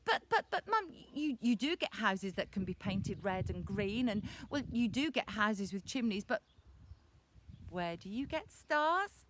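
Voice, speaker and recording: A woman's voice: mid-range voice, expressive, measured pace, a little hiss or hum, natural voice. A woman voicing a whiny, bored young boy with a grumpy, stroppy manner in a told children's story. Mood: whiny, protesting.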